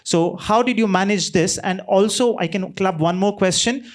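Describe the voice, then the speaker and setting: A man's voice: high voice, normal speed. Man moderating, chatty. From India. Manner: chatty, joking, long roundabout questions. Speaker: panel moderator. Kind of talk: moderating a panel.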